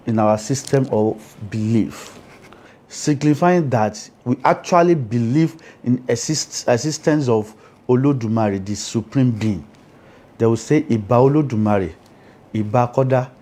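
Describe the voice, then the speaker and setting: A man's voice: medium pitch, dark tone. A man with a Nigerian Yoruba accent, speaking as an interviewed priest in an emphatic, explanatory way, often checking that he is understood. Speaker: Ifa priest, interview guest.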